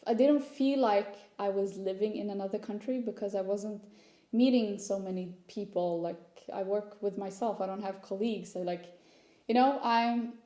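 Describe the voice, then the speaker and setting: A young woman from Sweden speaks English, in a relaxed, mellow voice of medium pitch. She tells personal stories in a chatty, candid vlog style. The room adds a noticeable echo around her words. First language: Swedish. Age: young adult. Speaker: content creator. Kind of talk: casual personal vlog chat. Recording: roomy echo.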